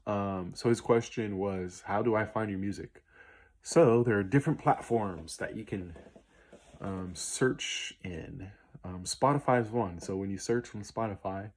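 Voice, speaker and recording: Late-20s male musician vlogger, low, slightly hoarse voice, loose and unscripted, spells out names slowly. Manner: easygoing, rambling with many ums and spelled-out names. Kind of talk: casual Q&A vlog.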